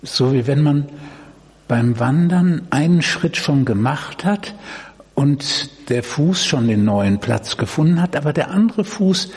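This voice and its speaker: A man guiding a body-awareness meditation, giving gentle instructions in short phrases with long pauses. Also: a little high, lively intonation. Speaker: meditation guide. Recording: echoey hall, distant mic, noisy.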